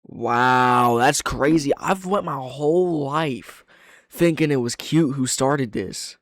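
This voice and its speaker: A man's voice: mid-range voice, slow, no room sound, studio quality. A man hosting a casual reaction commentary, thinking aloud with plenty of slang and swearing. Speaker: reaction video host.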